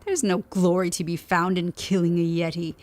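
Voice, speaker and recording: A woman's voice: lowish voice, level tone, normal speed, a little mellow, slight background noise, close to an everyday voice. An actress playing an ancient, proud warrior woman of a lost city in an audio drama, solemn, formal and imperious. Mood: scornful, threatening. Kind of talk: audio adventure drama acting.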